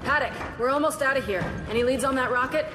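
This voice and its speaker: A woman's voice: high-pitched, fast talker, over music, close to an everyday voice. An actress voicing a decisive, businesslike female soldier leading a squad in a video game.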